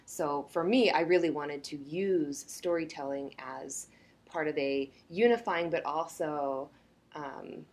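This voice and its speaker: A woman author answering interview questions in a thoughtful way, often opening with a soft "uh, well". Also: deep voice, slow pace.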